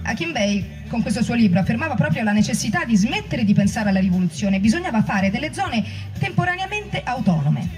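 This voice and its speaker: Heard off-mic over music, a reflective former activist recalls student years in a mellow voice. The delivery is that of a staged testimony, read as memory. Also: female voice, on the high side, very animated, a little fast. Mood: reflective, recollecting. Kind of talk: dramatic reading of oral history testimony. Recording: distant microphone, music underneath.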